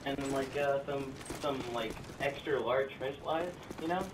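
A young man talks casually at a medium pitch, as if on a prank call. He rambles in a halting, crude way. The recording is echoey and a bit far from the mic, with some noise behind him. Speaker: video creator making prank calls. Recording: roomy, distant mic with background noise.

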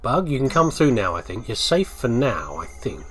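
A British man talks his way through a video game in a very lively, swooping voice. He is chatty and playful, muttering asides to himself, with light game ambience and noise behind him. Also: medium pitch, moderate pace. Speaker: gamer doing a let's play. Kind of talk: casual game commentary, thinking aloud.

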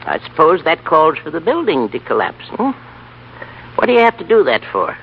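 A man's voice: on the high side, huge pitch swings, normal speed, vocal fry, narrow, tinny audio, slight background noise, full character voice. An actor playing a carefree old grandfather, folksy, plain-spoken and easygoing, in a 1930s radio play. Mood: curious.

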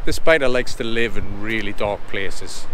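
A man's voice: lowish voice, normal speed, sharp tone, slight background noise. A middle-aged man narrating a nature vlog casually and informally, explaining things as he goes. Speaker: nature vlogger. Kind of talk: casual outdoor narration to camera. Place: outdoors on a coastal path.

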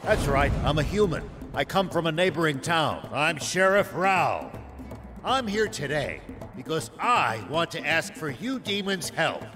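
An actor playing a stern, principled old sheriff in a video game cutscene, speaking formally and with resolve. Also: male voice, a little high, big pitch swings, unhurried, over music. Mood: formal, firm.

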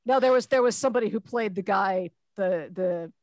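A woman's voice: medium pitch, fairly quick, darkish tone, no echo, clean studio sound. A middle-aged woman co-hosting a podcast, casually discussing films on a headset mic. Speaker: podcast co-host. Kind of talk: casual, unscripted film discussion. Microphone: headset on a video call.

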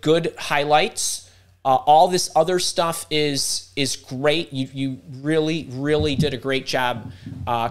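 A middle-aged man hosting a live career workshop over a video call, talking fast and interrupting himself as he thinks aloud. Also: mid-range voice, fairly bright tone, clear, resonant tone. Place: home room indoors. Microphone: video call webcam.

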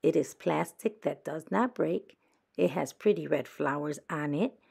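A sly little girl badger from a storybook, voiced by a woman reader. The voice is on the low side with some creak. The talk is bossy and persuasive, with coy dodging. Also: unhurried, darkish tone, exaggerated voice. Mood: coy bargaining. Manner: persuasive, coyly evasive. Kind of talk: children's picture book read-aloud.

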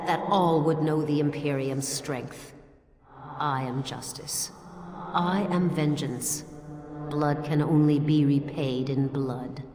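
A vengeful ghostly noblewoman in a fantasy game, commanding and stern. The voice is very low, echoing through a long reverb. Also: fairly even intonation, slow, a little mellow. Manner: commanding, solemn. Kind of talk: fantasy video game acting. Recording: echoey chamber, noisy game ambience.

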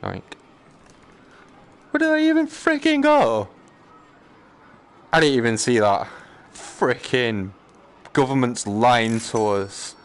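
A man's voice: medium pitch, sing-song, takes it slowly, pauses often. A man chatting casually on a live game stream, joking in a slangy, sweary way. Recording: clear background noise.

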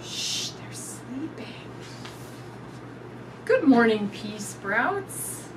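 A middle-aged woman reading a picture book aloud to young children in an animated, sing-song way. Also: fairly high pitch, extremely slow. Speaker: storytime reader. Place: indoors in front of a curtain backdrop. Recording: distant mic with clear background noise.

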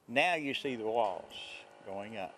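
A man's voice: lowish voice, lively intonation, a bit bright, a little hiss or hum. An older man, a pastor, speaking earnestly and plainly about faith in an interview outdoors at a building site. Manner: earnest, faith-filled, plain-spoken with pauses.

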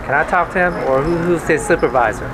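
Quick, indignant man in noisy store. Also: fairly high pitch. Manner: indignant, challenging. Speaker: shopper. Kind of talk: casual arguing. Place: inside a large store.

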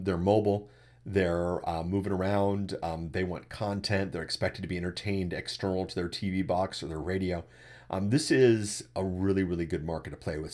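A man in his forties with a deep voice, hosting a live webcast and answering chat questions about podcasting from his desk. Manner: animated, repeats words when starting a thought. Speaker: podcasting coach and webcast host. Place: indoors at a desk, webcam framing. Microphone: desktop USB microphone.

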